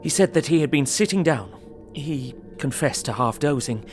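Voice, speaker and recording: An actor playing a grave, troubled young husband, reading out his diary in a measured way over music. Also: a little high, expressive, lightly acted. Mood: sombre. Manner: sombre, measured. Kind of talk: audio drama diary narration. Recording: music under the voice.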